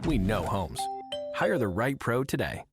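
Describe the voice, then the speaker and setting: A man's voice: fairly low pitch, sing-song, unhurried. A man reading a polished commercial voice-over as an announcer. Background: music.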